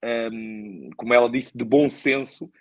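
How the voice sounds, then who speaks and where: A man's voice: mid-range voice, steady tone, unhurried. A man with a European Portuguese accent answering in a podcast conversation as a guest, thoughtful and hesitant, often repeating words for emphasis. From born in Angola, moved to Portugal very young. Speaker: guest, football coach.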